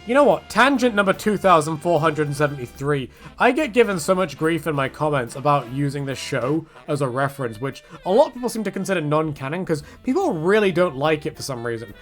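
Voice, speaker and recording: A man with a fairly high voice rants casually about games over faint music. His intonation swings widely as he rambles and jokes in slang. He often slips into imagined quotes as he goes. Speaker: video creator, game commentator. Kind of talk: casual opinionated rant.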